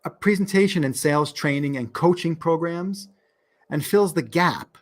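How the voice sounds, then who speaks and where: Man, New York accent, podcast host, upbeat, fairly high voice. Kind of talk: podcast interview.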